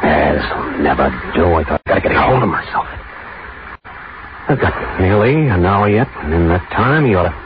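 Very deep, anxious noir plotter. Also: male voice, expressive. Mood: nervous. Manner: tense, halting, brooding. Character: anxious, lovestruck man plotting murder, easily swayed. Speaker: radio actor and narrator. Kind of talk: 1940s radio thriller acting, first-person narration. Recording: noisy, muffled 1940s radio recording.

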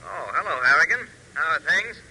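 Husky, sharp, gruff radio airfield man. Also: fairly high pitch, animated, normal speed, exaggerated voice, low-quality recording. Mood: guarded, resigned. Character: gruff, defeated small airport operator and war veteran. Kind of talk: 1940s radio drama acting. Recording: 1940s radio recording.

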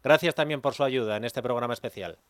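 A man's voice: mid-range voice, fast talker, dry recording, clean studio sound. A man from hosting live radio in a playful, theatrical announcing manner. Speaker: radio host.

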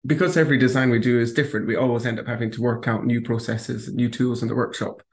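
Brief: male architect, mid pitch, flat even delivery, calm and explanatory. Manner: calm, measured, explanatory, with small fillers. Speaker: architect and bench designer. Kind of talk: interview answers.

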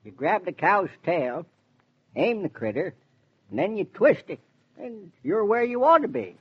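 High, husky old country hand. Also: male voice, sing-song, measured pace, full character voice. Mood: insistent, folksy. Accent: rural American. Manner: rustic, rambling, homespun philosophizing. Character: folksy, talkative, opinionated country handyman, an older man. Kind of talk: 1940s radio drama acting. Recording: dull vintage 1940s radio recording.

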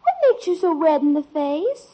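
A woman's voice: high voice, sing-song, moderate pace, dark tone. An American actress playing a confident, earnest girl of about nine in a 1950s radio sitcom. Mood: innocent, curious. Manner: earnest, stubbornly sure. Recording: dull old radio recording.

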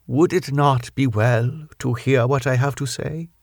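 An elderly foreign doctor of solemn, stern temper, as a male narrator plays him in a classic storybook reading. He speaks slowly and gravely with a gravelly creak, pleading with weight, and the sound is close and dry. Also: mid-range voice, steady tone, dry recording. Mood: measured, insistent.

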